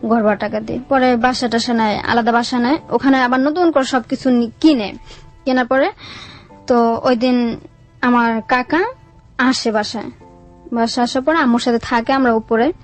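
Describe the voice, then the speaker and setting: A woman's voice: fairly high pitch, steady tone, normal speed, long pauses, soft background music. A young adult woman with a Bangladeshi Bengali accent telling her life story in a colloquial, plain-spoken way as an interview guest.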